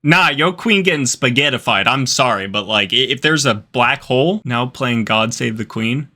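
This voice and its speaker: A man's voice: medium pitch, normal speed. A man hosting a reaction video, giving casual, slangy commentary full of exclamations. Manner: slangy, animated exclamations, frequent laughing asides.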